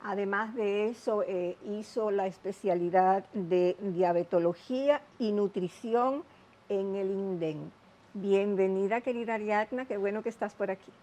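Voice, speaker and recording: A woman hosting a TV health interview show, speaking in a measured, explanatory way with many asides. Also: mid-range voice, warm tone.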